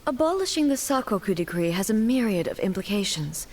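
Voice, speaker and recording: An actress voicing a solemn, regal female ruler deity in a video game, speaking formally and with grave, measured dutifulness. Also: medium pitch, very animated, a little mellow. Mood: solemn, reflective. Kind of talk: scripted video game dialogue.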